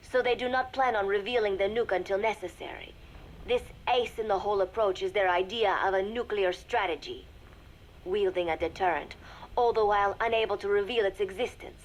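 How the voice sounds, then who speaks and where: Woman acting a young lady spy dictating a secret game audio log, formal n measured, careful diction, noisy cassette sound. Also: medium pitch, natural voice. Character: composed young female spy secretly reporting to a shadowy master. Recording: noisy cassette tape recording with ambience.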